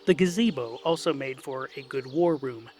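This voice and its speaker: A man's voice: mid-range voice, moderate pace, a little mellow. A man narrating a comic fantasy tale in a wry, dry, scripted manner.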